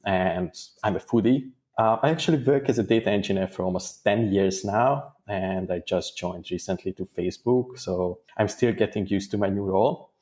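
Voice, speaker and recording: A man gives interview answers in a fairly low voice. He speaks in a thoughtful, measured way and sometimes restarts a phrase while he finds his words. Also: a little mellow. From Hungary. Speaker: guest data engineer.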